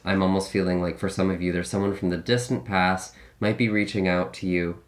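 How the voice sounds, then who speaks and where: Deep-voiced man, slightly raspy. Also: fairly even intonation, a little fast. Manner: musing, thinks aloud with many hedges and restarts. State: slightly raspy from a recent illness. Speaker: tarot reader. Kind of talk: casual tarot reading.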